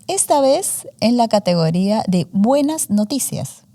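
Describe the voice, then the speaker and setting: Woman in her 40s chatting in an interview, lots of ums, drops english words in, soft mellow tone. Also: fairly high pitch, big pitch swings, unhurried. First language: Spanish. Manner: warm storytelling with many fillers, mixes in English words. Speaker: interviewee, student journalist. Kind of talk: casual interview conversation.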